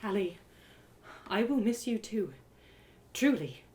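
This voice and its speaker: A woman voicing a proud young elven woman in a story, guarded and formal, speaking with measured resolve. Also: mid-range voice, animated, a little mellow. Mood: guarded, regretful.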